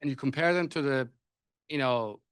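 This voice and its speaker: A man's voice: medium pitch, normal speed, a bit bright, clean tone, no echo. A man whose first language is Persian, moderating a panel discussion, often hesitating and restarting his sentences. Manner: hesitant, many restarts and fillers.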